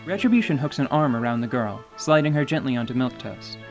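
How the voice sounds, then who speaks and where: A narrator describing action in a fantasy radio drama with even, descriptive delivery. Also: medium pitch, fast, faint music behind.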